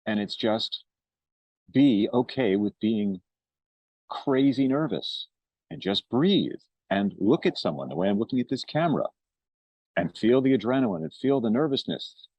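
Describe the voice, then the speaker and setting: A man's voice: fairly low pitch, sing-song, unhurried, darkish tone, dry recording. A man giving an informal personal testimonial to camera on a dull, lo-fi recording. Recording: dull, lo-fi sound.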